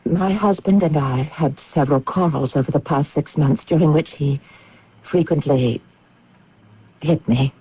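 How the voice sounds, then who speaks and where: A woman's voice: low-pitched, unhurried, husky. An actress playing an anxious widow in a radio drama, earnest and hesitant as she speaks. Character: anxious British widow confessing a secret to officials. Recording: dull, muffled old radio broadcast.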